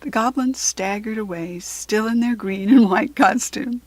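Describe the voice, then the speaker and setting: A woman with an American accent reading a children's book aloud in expressive narration, with a breathy voice. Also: fairly high pitch, measured pace, no echo.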